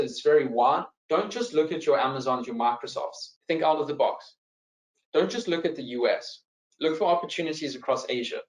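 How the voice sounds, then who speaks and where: A man with a South African accent, a financial adviser presenting a live online seminar and taking audience questions in a relaxed, friendly way. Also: medium pitch, echoey room, low-quality recording. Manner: relaxed and friendly, with frequent fillers and repeated words. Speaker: financial adviser hosting a webinar.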